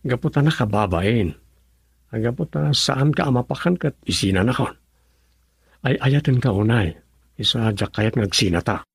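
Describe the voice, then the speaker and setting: An actor playing a poor, devoted husband who pleads and defends himself in an Ilocano radio drama, with a gravelly, husky voice. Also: male voice, medium pitch, lively intonation, moderate pace, dry recording, clean studio sound. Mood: pleading, devoted.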